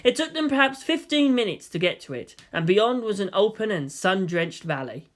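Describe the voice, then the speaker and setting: Expressive audiobook narrator, medium pitch. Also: moderate pace. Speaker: fan fiction audiobook narrator. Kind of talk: expressive scripted story narration.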